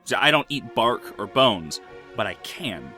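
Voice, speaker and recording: A man's voice: mid-range voice, big pitch swings, measured pace, pushed and bright, faint music behind. A man playing a polite, awkward human who stammers hesitantly in a fan fiction audio drama. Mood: flustered, polite.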